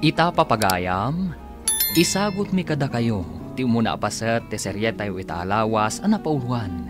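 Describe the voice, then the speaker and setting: A man's voice: medium pitch, big pitch swings, moderate pace. A man with a Filipino accent announcing a radio drama in a scripted style. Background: music.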